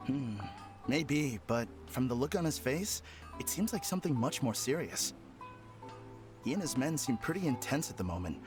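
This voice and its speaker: A man's voice: medium pitch, expressive, normal speed, music in the background, lightly acted. An actor playing a mildly concerned, gossiping townsman in a video game. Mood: concerned, curious.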